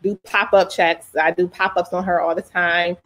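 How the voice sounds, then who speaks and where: A woman's voice: fairly low pitch, little pitch movement, moderate pace, fairly bright tone, rough recording. A woman, a mother and parent advocate, answering interview questions in a frank, casual way, often saying "you know" and "um.". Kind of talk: conversational interview.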